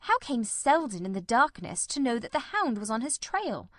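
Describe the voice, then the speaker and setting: A performer voicing a shrewd, grimly determined male detective in a radio mystery, speaking formally and deliberately. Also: very high voice, big pitch swings, a bit bright, no echo, clean studio sound, full character voice. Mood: analytical. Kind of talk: old-time radio mystery drama.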